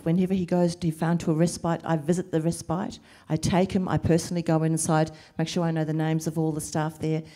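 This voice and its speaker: A middle-aged woman, a carer and social worker, speaking earnestly on a panel, sleep deprived and emotional, with measured pauses. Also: low voice, dark tone. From Lebanese family, raised in New Zealand and Australia. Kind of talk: panel discussion answers.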